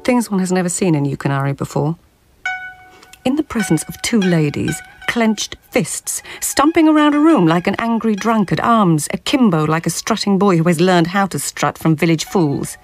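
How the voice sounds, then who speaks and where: Haughty, low-voiced court lady. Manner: formal, haughty. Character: proud, sharp-tongued lady of an imperial court. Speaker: actor. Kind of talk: radio drama acting and narration.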